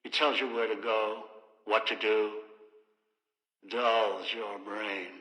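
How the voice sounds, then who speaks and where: A man's voice: lowish voice, flat delivery, slow pace, sharp tone, reverberant, long reverb tail, rough recording. An older man acting a bitter, commanding old schemer in a video game, giving terse, ominous orders over a radio speaker. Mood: cold, threatening.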